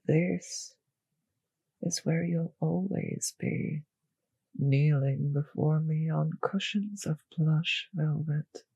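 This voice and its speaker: A woman playing a cruel, possessive vampire mistress in an intimate audio roleplay, speaking in a slow, teasing, condescending purr with breathy, whispered phrases. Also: low voice, fairly even intonation, warm tone, dry recording, full character voice. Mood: menacing, intimate. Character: cruel, possessive, seductive female vampire mistress toying with servant.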